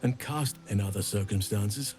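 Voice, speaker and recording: An actor playing a grave, courteous, scholarly druid in a video game, speaking in an earnest, measured and formal way. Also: male voice, on the low side, lightly acted. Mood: regretful, sombre. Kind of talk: video game character dialogue. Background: loud-ish background music.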